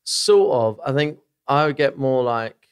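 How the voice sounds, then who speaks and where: A man's voice: medium pitch, lively intonation, measured pace, clean tone. A man in his thirties from Essex with a southern English accent, chatting casually and a little hesitantly as a podcast co-host. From Essex, England. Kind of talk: casual chat. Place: small podcast studio. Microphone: close microphone on boom arm.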